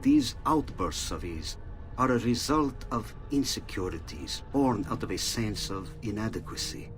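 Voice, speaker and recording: Very deep-voiced, solemn friar. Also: male voice, animated, faint music behind. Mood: earnest, explaining. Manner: measured, formal, earnest. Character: grave, discreet friar reading his confidential letter. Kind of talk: dramatic letter narration.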